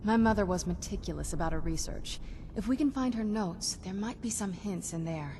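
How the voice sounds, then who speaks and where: A woman voicing a shrewd, composed young female vampire necromancer in a video game, speaking in a measured, dry, musing way. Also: mid-range voice, animated, background noise, close to an everyday voice. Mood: musing, intrigued. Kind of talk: video game character dialogue.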